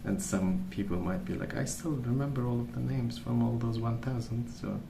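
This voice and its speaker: A young man rambling in a gentle, soft-spoken ASMR style, musing aloud without hurry. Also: medium pitch, fairly even intonation, moderate pace. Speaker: ASMR video creator. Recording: echoey room, clear background noise.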